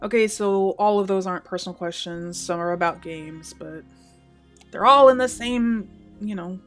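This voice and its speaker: A woman's voice: mid-range voice, normal speed, fairly bright tone, faint music behind. Chatty Northwest American woman, gaming vlog. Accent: Pacific Northwest American with slight Southern and Canadian hints. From Washington State, USA. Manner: chatty, laughs often, self-deprecating asides. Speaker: gaming YouTuber. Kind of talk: casual Q&A monologue.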